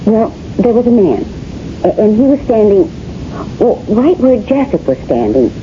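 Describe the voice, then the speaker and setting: An actress playing a helpful, hesitant female museum employee in a radio drama, halting and repeating her words. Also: mid-range voice, sing-song, natural voice. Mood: earnest. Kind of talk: 1970s radio drama acting. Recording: dull, noisy 1970s radio recording.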